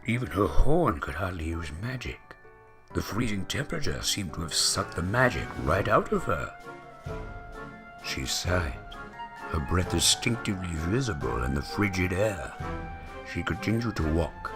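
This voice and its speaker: A man with a deep, gravelly voice narrating a story in a theatrical, deliberate manner, imitating a grand veteran voice actor. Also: very animated. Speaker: narrator of fan fiction readings. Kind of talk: dramatic scripted story narration. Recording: music behind the voice. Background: background music.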